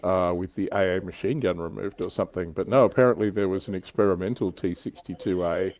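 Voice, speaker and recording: A man's voice: deep voice, fairly even intonation, fast, darkish tone. A man chatting casually as a panelist on a hobby livestream.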